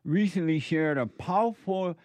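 A man's voice: high-pitched, moderate pace, darkish tone, no echo. A man hosting a casual chat who reacts to posts with laughter and playful repetition. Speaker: show host.

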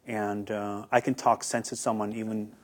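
A man's voice: on the low side, little pitch movement, normal speed. A young man giving earnest, hesitant interview answers as a guest, often repeating words as he starts. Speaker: guest, YouTuber.

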